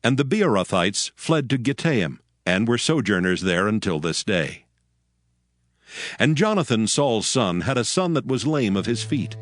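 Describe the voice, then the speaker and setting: A man giving a measured, scripted narration of scripture. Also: fairly low pitch, no echo, clean studio sound. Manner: measured, even reading. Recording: music bed under voice.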